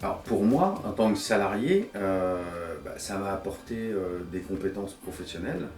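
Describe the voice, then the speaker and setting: A man of fifty speaks in a low voice, giving a testimonial about his work. The sound is roomy and a little far from the microphone. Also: animated, normal speed, darkish tone. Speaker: director of a community association. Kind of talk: interview testimonial. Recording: roomy echo, distant mic.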